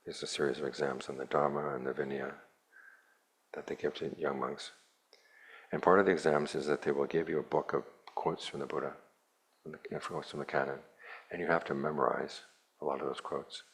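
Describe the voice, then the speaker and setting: A man, a Buddhist monk, giving a plainspoken, measured dharma talk to a retreat group with a dry, wry touch. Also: very deep voice, steady tone, slightly rough.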